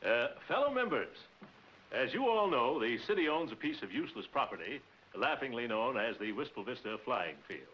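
A man's voice: medium pitch, very animated, a little fast, lightly acted. Swooping, pompous, indignant big man played by a man. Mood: pompous, self-satisfied. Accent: American. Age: middle-aged. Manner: pompous, florid wording, theatrical indignation. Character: pompous, indignant big town businessman and rival. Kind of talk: 1940s film comedy acting. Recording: dull, noisy 1940s film soundtrack.

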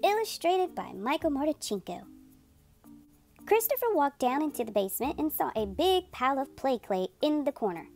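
A woman reading a picture book aloud to children as a storytelling host, with expressive, drawn-out playful emphasis. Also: high-pitched, soft background music.

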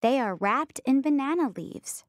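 Woman playing a high-voiced friendly young schoolgirl. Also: expressive, unhurried, no echo, clean studio sound. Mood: friendly, informative. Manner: careful, clearly enunciated, simple sentences. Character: friendly young Vietnamese schoolgirl describing family meals. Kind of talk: scripted language-textbook audio reading.

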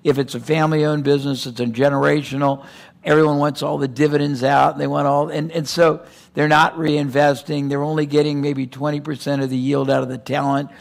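An older man giving a keynote on a conference stage, talking in an animated way with quick digressions and restarts. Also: fairly high pitch, gravelly. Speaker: keynote speaker. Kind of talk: conference keynote in seated interview format. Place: conference stage before a live audience.

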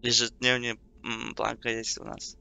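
A young man in his twenties streams a game with casual, sweary chatter. His voice is sharp and very bright, with a crisp, clean tone at an ordinary male pitch. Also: completely flat delivery, moderate pace. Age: 20s. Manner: slangy, swearing. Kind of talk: casual live-stream gaming chat.

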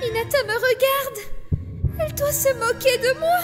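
A woman's voice: very high voice, moderate pace, loud music behind the voice. A woman voicing a timid, anxious schoolgirl in an anime fan dub, speaking in a hesitant, plaintive way. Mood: straining, panicky.

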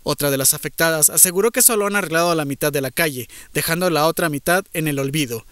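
A man with a Mexican Spanish accent reading a scripted news voice-over in an even, formal tone. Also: on the high side, quick pace, clean tone, dry recording. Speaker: news reporter.

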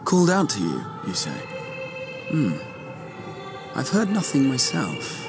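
A man's voice: medium pitch, wildly swooping pitch, measured pace, gravelly, music in the background, exaggerated voice. A man playing a wry, genial adventurer in a fantasy video game, courteous and conversational. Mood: wry, relaxed. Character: wry, genial adult male adventurer companion.

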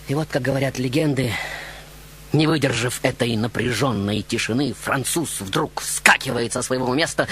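A man's voice: mid-range voice, fairly bright tone, a little hiss or hum, natural voice. A man playing a proud, flamboyant baroque composer recounting his life in a grandiose, ironic radio monologue. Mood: hushed, sardonic. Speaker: radio show host. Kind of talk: theatrical first-person radio monologue. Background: music behind the voice.

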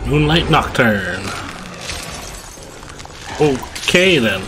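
Male gaming host, 30s, mid-pitched, casual commentary, some noise behind the voice. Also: big pitch swings, drawn-out words. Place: small home room, indoors. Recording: clear background noise.

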